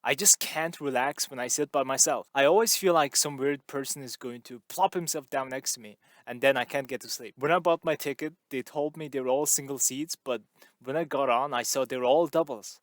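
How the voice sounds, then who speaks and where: Young hairdresser woman, friendly and nosy, slightly husky; male reader voices her. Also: medium pitch, brisk, a bit bright, dry recording, close to an everyday voice. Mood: chatty. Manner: curious, talkative, teasing questions.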